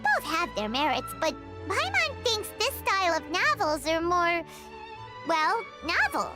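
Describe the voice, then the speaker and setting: A woman voicing a tiny, excitable female fairy companion in a game, who speaks of herself in the third person with a high childlike voice. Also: unhurried, exaggerated voice. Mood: excited. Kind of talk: game voice acting. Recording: game audio under music.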